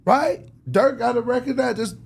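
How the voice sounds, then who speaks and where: A man's voice: very high-pitched, normal speed, vocal fry, breathy edge. A man speaking as a record executive being interviewed, casual and passionate, making his case emphatically with lots of slang and repetition. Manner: emphatic, persuasive, slangy, repeats himself. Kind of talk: casual, passionate shop talk.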